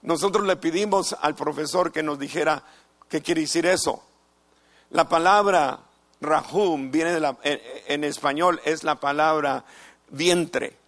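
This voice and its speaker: Slow, deliberate preacher, a man. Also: a little high, fairly bright tone. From United States. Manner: slow, deliberate preaching with repeated phrases and pauses. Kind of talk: sermon.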